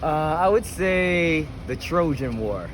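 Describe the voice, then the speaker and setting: A man's voice: on the high side, expressive, takes it slowly. A man chatting casually as an interviewee, hesitant and often echoing a question before he answers. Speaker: interviewee, music fan at a show. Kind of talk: casual chat. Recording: noisy outdoor urban street with traffic.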